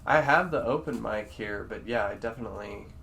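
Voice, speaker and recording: A man's voice: on the low side, fairly quick, vocal fry, fairly bright tone, slight background noise. Easygoing man on a podcast mic. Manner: easygoing, laughs readily. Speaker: podcast host. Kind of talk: casual podcast chat.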